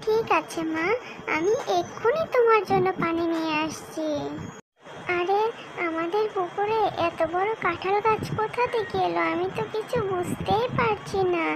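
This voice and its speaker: A woman's voice: very high voice, moderate pace, heavy background noise. A woman voicing an eager, childlike young girl in a children's cartoon story, pleading and earnest. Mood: excited.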